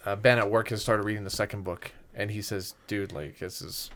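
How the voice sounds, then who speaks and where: A man in his thirties co-hosting a podcast, telling stories in casual, animated talk with free swearing and acted-out reactions. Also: fairly low pitch, a little fast, a little hiss or hum. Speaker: podcast co-host. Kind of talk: casual chat.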